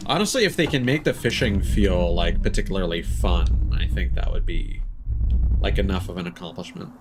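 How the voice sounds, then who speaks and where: A man's voice: sits low, expressive, moderate pace, over music. A man doing casual live game commentary, chatting with viewers and rambling as he thinks aloud. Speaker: live streamer playing a video game.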